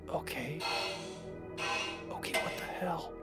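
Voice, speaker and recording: A man's voice: slow pace, lightly acted. A man playing a weary, resigned young man in an audio drama, casual and dismissive. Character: sleepy, resigned young man, Aaron, downplaying strange noises. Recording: music under the voice.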